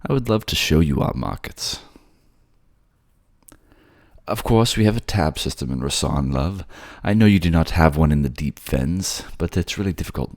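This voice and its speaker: A man puts on a suave merman king for an audio romance. He is indulgent and courtly, with gentle teasing. The voice is low and gravelly, recorded close and dry. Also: animated, moderate pace, dry recording, heavily acted. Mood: courteous, then patient, teasing. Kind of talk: romantic audio roleplay acting.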